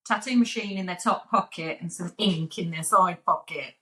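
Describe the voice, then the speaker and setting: A woman's voice: on the low side, normal speed, fairly bright tone, slight background noise. A woman, a guest on a casual podcast, chatting with dry, wry humor. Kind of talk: casual chat.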